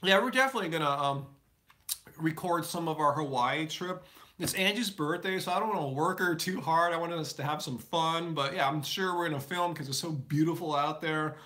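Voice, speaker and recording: Man, live stream host, casual chatty Q&A, rambling with fillers, bright tense voice. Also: fairly high pitch, a little fast. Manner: rambling, answers viewer chat questions with many fillers and restarts. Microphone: live stream setup.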